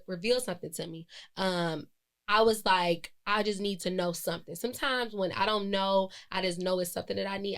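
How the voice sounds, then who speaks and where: A woman's voice: on the low side, a bit bright. A woman, hosting a solo podcast in a chatty, reflective way, with many fillers and self-corrections. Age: 20s. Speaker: podcast host. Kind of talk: casual solo podcast monologue.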